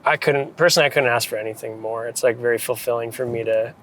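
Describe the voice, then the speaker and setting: A man's voice: mid-range voice, steady tone, quick pace, fairly bright tone, a little hiss or hum, low-quality recording. An American man from New England chatting casually and thoughtfully as an interviewed photographer, speaking informally. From United States, New England. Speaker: photographer and director being interviewed. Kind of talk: casual reflective chat.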